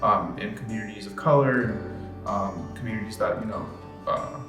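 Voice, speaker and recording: A man's voice: lowish voice, moderate pace, over music. A nineteen-year-old man from Brooklyn answering interview questions thoughtfully, hesitating with many fillers. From Brooklyn, New York. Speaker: young interviewee. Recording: roomy echo.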